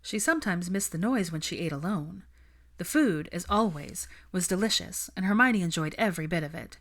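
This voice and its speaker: A woman's voice: fairly low pitch, expressive, moderate pace. A woman reading a story aloud as an audiobook narrator, in scripted third-person narration. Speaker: audiobook narrator of fan fiction.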